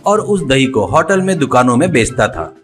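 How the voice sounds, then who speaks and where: A male storyteller narrates a cartoon moral tale. His intonation is very animated, rising and falling freely. Faint music plays under the voice. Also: mid-range voice, moderate pace.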